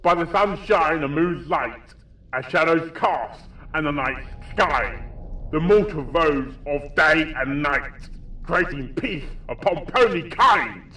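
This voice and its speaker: A man's voice: a little high, animated, slow, sharp tone, pitched above the performer's own voice, exaggerated voice, low-quality recording. A man voicing a grave, determined male pony doctor with an earnest, dramatic delivery in a story reading. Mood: forceful, incanting.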